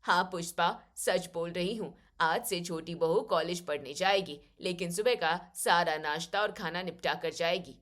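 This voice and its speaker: Lady doing a bossy older saas in a family drama story, deep and loud, curt orders. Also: moderate pace, hardly any pauses, close to an everyday voice. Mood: stern. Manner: authoritative, curt pronouncements. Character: stern, commanding older mother-in-law of a rich household.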